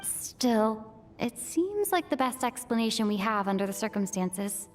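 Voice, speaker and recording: A composed, high-voiced game investigator. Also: female voice, very animated, faint music behind. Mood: pensive. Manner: measured, explanatory. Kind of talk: scripted game dialogue.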